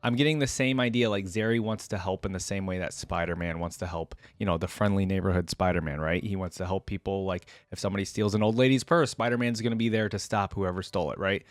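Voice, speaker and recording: A man's voice: low-pitched, quick pace, hardly any pauses, no echo. A man reacting and reading a story aloud, moving between narration and casual, informal commentary. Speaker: reaction video host and reader.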